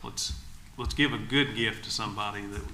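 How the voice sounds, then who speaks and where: A man's voice: fairly low pitch, moderate pace, pushed and bright. A man giving enthusiastic remarks as an agency official at a public meeting. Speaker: agency commissioner. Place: indoor public meeting room. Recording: echoey meeting room, faint background noise.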